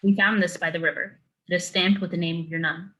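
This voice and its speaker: A woman's voice: fairly low pitch, steady tone, fast, a bit bright, natural voice. A woman playing a composed, terse female warrior in a dramatic reading, matter-of-fact and curt. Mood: composed, firm.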